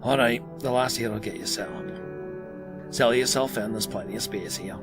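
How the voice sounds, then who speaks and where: A man's voice: mid-range voice, moderate pace, over loud music, lightly acted. A man playing a welcoming, easygoing father and community leader in an audio drama, with a casual manner.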